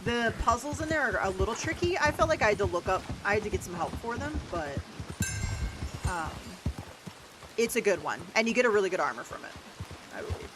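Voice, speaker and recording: A young adult woman with a deeper voice, livestreaming a game and chatting casually with lots of "like" and "um". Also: big pitch swings, a little fast, noisy background. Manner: rambling, chatty, many "like" and "um" fillers. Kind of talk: casual chat while gaming.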